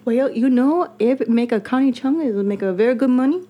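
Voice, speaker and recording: A woman's voice: high voice, a little fast, dark tone, voice pushed up. A woman mimicking her proud pushy mother. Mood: pushy pride. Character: her own fussy immigrant mother, broken English.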